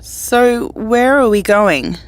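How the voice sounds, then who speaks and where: A woman's voice: on the high side, expressive, slow pace, no room sound. An actress playing an uneasy, questioning female survivor in a dystopian audio drama. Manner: hesitant, questioning.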